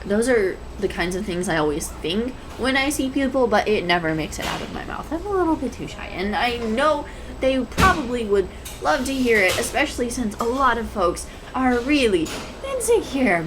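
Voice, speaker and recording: A woman's voice: medium pitch, very animated, normal speed, natural voice. A woman playing a shy, anxious, adorkable young woman in an intimate roleplay audio, rambling hesitantly and stammering. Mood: shy, earnest. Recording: clear background coffee-shop ambience noise. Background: coffee shop ambience sound effects.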